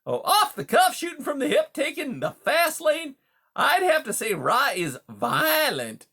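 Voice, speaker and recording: A huge male beaver from a storybook, pitched high and swooping widely. He is a pushy, smooth-talking bully, brash and folksy, and he bulldozes through every conversation. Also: wildly swooping pitch, a little slow, pushed and bright, exaggerated voice. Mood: blustering. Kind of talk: audiobook character dialogue.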